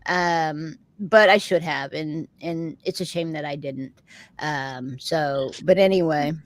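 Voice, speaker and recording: A woman's voice: sits low, slow, no echo. A middle-aged woman with a Texan accent, hosting a casual chat with viewers in a rambling, chatty way and laughing often. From Texas. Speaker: host of a live chat show.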